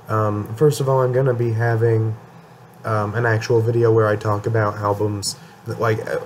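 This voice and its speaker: A man's voice: lowish voice, a little mellow, slight background noise. A young man talking casually about his favorite albums, his voice a bit worn from a cold, rambling with fillers and restarts. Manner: rambling, many ums and restarts. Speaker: music channel host. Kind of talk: casual album ranking talk.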